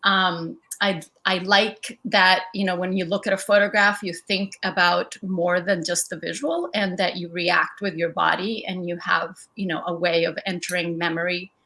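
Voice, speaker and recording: A middle-aged woman from El Salvador, an artist talking thoughtfully about her work in a museum conversation, with frequent pauses and ums. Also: lowish voice, fairly even intonation, pushed and bright. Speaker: artist guest.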